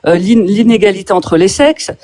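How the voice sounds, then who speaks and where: A French-born woman interviewer asking pointed questions in a debate, speaking haltingly with many fillers and restarts. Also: medium pitch, expressive, crowd noise. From France.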